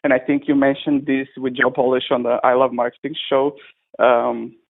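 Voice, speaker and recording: A man speaks at an ordinary middle pitch, pausing and restarting as he hunts for the right word. He is a guest chatting over a call. The sound is thin and tinny, like a phone line. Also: little pitch movement, vocal fry. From North Macedonia. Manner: hesitant, searching for words with many restarts. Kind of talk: casual interview over a call.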